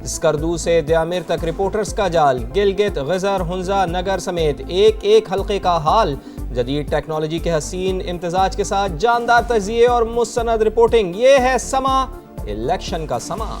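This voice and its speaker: A man's voice: sits high, lively intonation, measured pace. A man with a Pakistani accent reading scripted news headlines as an anchor. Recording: loud music bed under voice. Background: news music.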